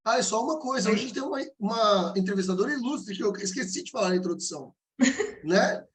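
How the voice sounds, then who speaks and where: High-voiced joking podcast host, a man. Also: lively intonation, fast. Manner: joking and teasing. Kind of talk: casual podcast talk.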